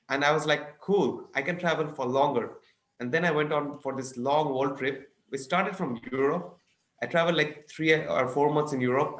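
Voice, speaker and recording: A man's voice: fairly high pitch, fairly bright tone, echoey room. A man in his twenties from eastern India, a native Bengali speaker, chatting as a podcast guest in an enthusiastic, chatty way. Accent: Indian English. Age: 20s. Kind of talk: casual interview chat.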